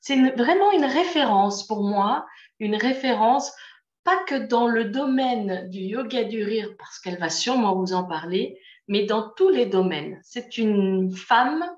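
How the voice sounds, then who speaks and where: A woman hosting a podcast interview, effusive and warm toward her guest, laughing often over a video call. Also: on the high side, animated, unhurried. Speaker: podcast host. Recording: echoey, muffled lo-fi call audio.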